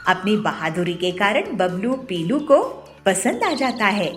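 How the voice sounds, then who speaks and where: A woman's voice: mid-range voice, very animated, measured pace, soft background music. A woman reading scripted narration for children's cartoon stories as the storyteller.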